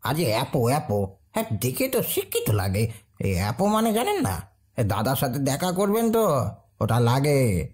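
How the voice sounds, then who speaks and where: An actor playing a cheeky, mocking young political lackey in an audio drama. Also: male voice, mid-range voice, huge pitch swings, moderate pace, darkish tone. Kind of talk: dramatised audio story acting.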